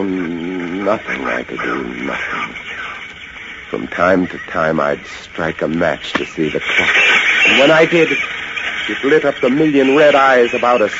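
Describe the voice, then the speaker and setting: Haunted lighthouse keeper: lowish voice, measured and halting, suspenseful old radio drama delivery. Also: male voice, heavy background noise. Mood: weary, despairing, then hushed dread. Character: haunted lighthouse keeper, a man, recounting a rat siege. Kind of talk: 1940s-50s radio drama narration. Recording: dull vintage radio recording, loud music behind.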